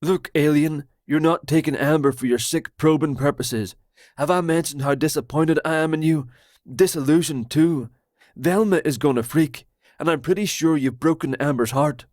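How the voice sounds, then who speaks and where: A jittery, paranoid man rants loudly and fast, pitched a little above the narrator's own voice, as a male narrator puts him on. The recording is close and dry. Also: no echo, close to an everyday voice. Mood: indignant. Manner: loud, fast, indignant. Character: paranoid, excitable trailer-park man. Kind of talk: audiobook character voice.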